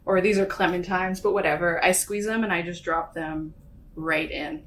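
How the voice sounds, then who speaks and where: A woman's voice: fairly low pitch, fairly even intonation, normal speed, a bit bright, a little hiss or hum. A young woman chatting casually while she cooks, laughing often and using lots of fillers. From Canada. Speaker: author and vlogger. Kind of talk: casual chat while cooking.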